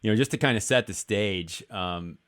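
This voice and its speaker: Low-voiced male podcast host. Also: normal speed, smooth. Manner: conversational and warm, with many fillers. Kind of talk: podcast interview.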